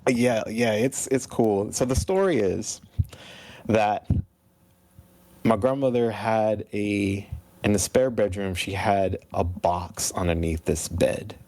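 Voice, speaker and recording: A man's voice: on the low side, slow, a little mellow. A man in his thirties chatting casually as an interview guest, telling stories and acting out bits of dialogue. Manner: acts out remembered dialogue. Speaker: interview guest, video game composer. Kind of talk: casual chat, animated storytelling.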